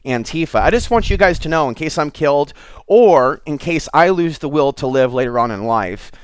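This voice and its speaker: A man's voice: on the high side, lively intonation, moderate pace, clean tone, dry recording. A middle-aged American man giving an unscripted, rambling monologue to his viewers, candid and confiding as he wanders between thoughts. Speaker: host of his own online show.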